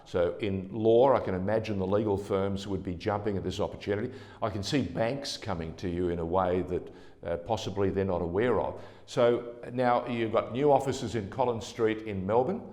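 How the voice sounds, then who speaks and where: A man's voice: fairly low pitch, normal speed, darkish tone. A man hosting a business interview in a broadcast style, recorded in an echoey, reverberant space. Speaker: interview host. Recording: echoey room with long reverb.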